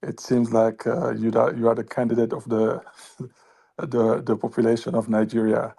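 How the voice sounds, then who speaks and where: Husky, halting man with a flat delivery. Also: mid-range voice. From West Africa, Senegal or Mali. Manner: many "uh" pauses and restarts. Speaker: audience member asking a question. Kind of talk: hesitant spoken question.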